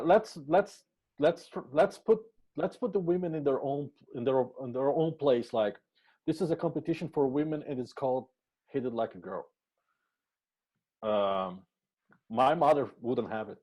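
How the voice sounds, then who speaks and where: A man from Brazil hosting a casual podcast interview, halting and restarting his words. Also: medium pitch, a little mellow, highs cut off.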